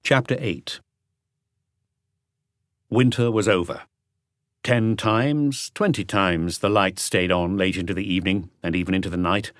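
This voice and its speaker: A man narrates a mystery novel in a low voice. His delivery is measured and composed, a scripted audiobook read, captured close and dry with no room sound. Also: no room sound.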